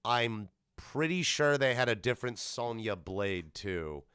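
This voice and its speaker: Bright-voiced man hosting a casual podcast. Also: medium pitch, unhurried, smooth, no room sound, studio quality. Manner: animated, blunt, swears freely. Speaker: podcast host. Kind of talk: casual rambling commentary, solo podcast.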